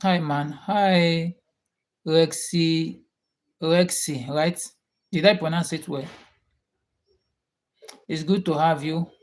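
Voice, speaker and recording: A man with a Nigerian accent hosts a casual live chat with his viewers. He talks at an unhurried, drawn-out pace. He often repeats his questions while waiting for replies. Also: on the high side, fairly even intonation. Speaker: livestream host.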